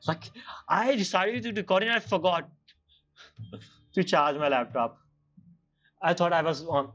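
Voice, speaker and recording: A man's voice: high-pitched, unhurried, a bit bright. Muffled man casually commenting on a game. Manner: informal, muttered reactions with slang and swearing. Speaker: gamer commenting on a playthrough.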